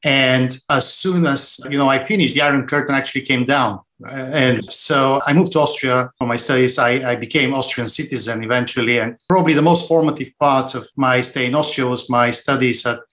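Middle-aged guy from bulgaria on a podcast, thinks out loud, restarts a lot, mid-pitched. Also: steady tone, a little fast, few pauses, low-quality recording. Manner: thoughtful, with frequent restarts and fillers. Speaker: guest, finance executive. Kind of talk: conversational interview answers.

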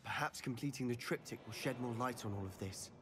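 A man's voice: mid-range voice, fairly quick, natural voice. An actor playing an eager, wry young male wizard student companion in a video game. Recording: game audio with music behind.

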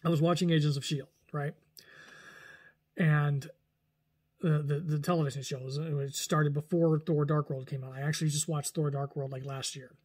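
A man's voice: a little high, moderate pace, husky. A man in his forties chatting casually to camera at home, rambling with frequent restarts and self-corrections. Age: 40s. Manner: rambling, animated, lots of restarts and hand gestures. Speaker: vlogger. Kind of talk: casual talking-head vlog. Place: small room indoors at home.

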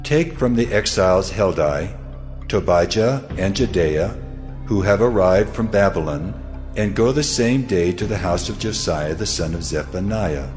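A man's voice: deep voice, measured pace, a little mellow, soft background music. A man playing the grave, commanding voice of the Lord in a dramatized audio Bible.

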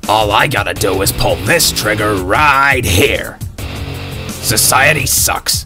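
A man's voice: medium pitch, lightly acted. A man voicing a snarky, foul-mouthed ginger schoolboy gunslinger in a video game, cocky and slangy yet caring. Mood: scornful, threatening. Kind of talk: video game character voice acting.

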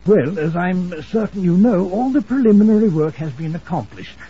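Fussy, high-pitched old gentleman scholar. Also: lively intonation, moderate pace, husky, heavily acted. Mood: grave warning. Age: older. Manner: stammering, pompous, rambling, repeats words. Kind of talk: old-time radio drama acting. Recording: muffled, hissy old radio recording.

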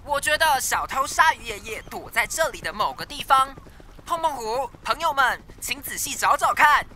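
A woman's voice: high-pitched, expressive, moderate pace, pushed and bright, a little hiss or hum. A woman voicing an earnest little helicopter helper in a children's cartoon, reporting urgently. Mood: urgent, alert. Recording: faint music behind the voice.

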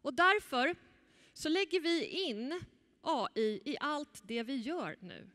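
Lively woman presenting on a big stage. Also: high-pitched. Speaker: event moderator. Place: large conference stage. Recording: echoey hall.

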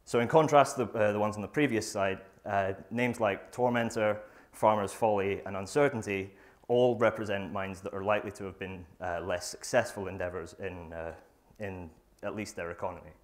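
A young man presenting his research in a lecture theatre, reading from notes with a lapel mic, often pausing with fillers and restarting phrases. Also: lowish voice, fairly even intonation. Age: young adult. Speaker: student presenter. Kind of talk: academic conference talk.

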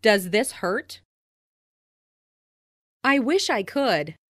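A woman reads scripted English practice phrases in a clearly enunciated, instructional way. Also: fairly high pitch, sing-song, drawn-out words, no echo, clean studio sound. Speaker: language lesson narrator.

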